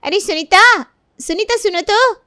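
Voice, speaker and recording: A woman's voice: a little high, steady tone, moderate pace, no room sound, studio quality, close to an everyday voice. A woman voicing a polite, studious young daughter-in-law in a family story, speaking earnestly. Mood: requesting. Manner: respectful, earnest.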